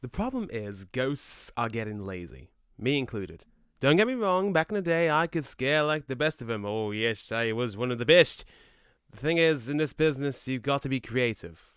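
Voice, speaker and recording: A man playing a laid-back but quick-tempered, cocky ghost in an audio drama, with a brash, slangy, matey way of talking. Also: mid-range voice, animated, fairly bright tone, clean tone, dry recording, clean studio sound, close to an everyday voice. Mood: cocky, chatty. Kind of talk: conversational audio drama acting.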